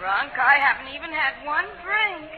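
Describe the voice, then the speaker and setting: An actress playing a faded alcoholic lounge singer, needy, wistful and plaintive, talking haltingly in 1940s radio drama style. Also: female voice, sits high, expressive. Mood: wounded, pleading. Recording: narrow, noisy 1940s radio recording.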